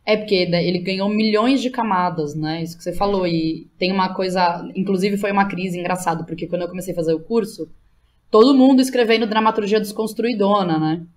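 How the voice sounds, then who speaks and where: A Brazilian woman with a São Paulo accent talks at a rapid clip in a casual interview. Her pitch sits in an ordinary middle range. She tells stories with animation, peppering them with slang and quoted lines. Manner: animated storytelling, slangy, quotes herself and others. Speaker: guest playwright and director.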